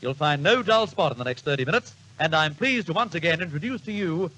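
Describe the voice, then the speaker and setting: A man's voice: on the high side, animated, fairly quick, bright, forceful tone, rough recording. A man compering a variety show with showy, polished patter in an old-time radio announcing style. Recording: vintage radio recording, faint noise.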